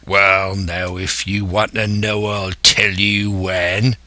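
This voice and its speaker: A man's voice: low-pitched, little pitch movement, takes it slowly, bright, forceful tone, dry recording, exaggerated voice. A man voicing a scheming, domineering older pirate ringleader who speaks emphatically and scornfully in a salty seafaring dialect.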